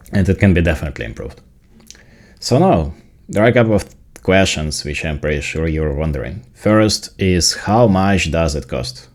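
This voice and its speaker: An extremely deep-voiced man with a Ukrainian accent, speaking as a native Ukrainian. He walks through software in a casual tutorial style. His delivery is explanatory, leaning on the points that matter. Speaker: tech video creator.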